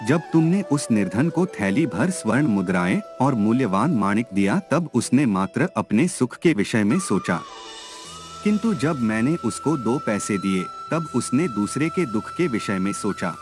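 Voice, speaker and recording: Mythological story deity, played by a man: serene, didactic, formal, expressive intonation, music bed. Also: medium pitch, a little slow. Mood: serene teaching. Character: serene, wise male god teaching his disciple.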